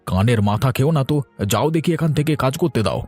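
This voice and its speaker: A man's voice: medium pitch, expressive, fast talker, a little mellow, dry recording, soft background music, close to an everyday voice. A man voicing a stingy, irritable middle-aged father in a cartoon, curt and grumbling. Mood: irritated. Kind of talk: cartoon voice acting.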